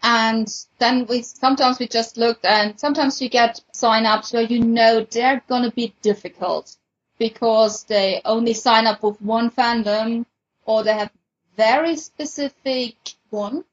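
A woman's voice: on the high side, steady tone, measured pace, slightly rough, dull audio. A woman explaining things casually as a moderator on a podcast panel.

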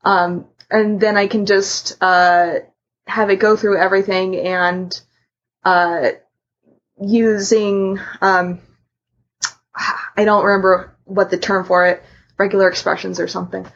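Husky woman, halting and conversational. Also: mid-range voice, flat delivery. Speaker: podcast panelist. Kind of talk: casual chat, explaining a personal method. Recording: muffled lo-fi voice recording.

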